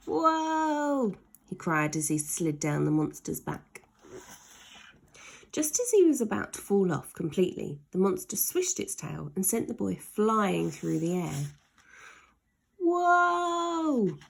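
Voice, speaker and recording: Woman, children's story read-aloud, husky with gravelly creak, dramatic word stretching, unhurried. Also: lowish voice, darkish tone. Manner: dramatic, drawn-out emphasis on key words. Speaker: teacher reading aloud. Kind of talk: expressive children's story reading.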